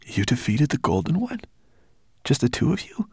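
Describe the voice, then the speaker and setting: A man voicing a gentle male dragon who has just woken and speaks softly, full of wonder. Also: mid-range voice, huge pitch swings, normal speed, vocal fry, breathy edge, no room sound, clean studio sound, pitched above the performer's own voice, heavily acted. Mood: bewildered.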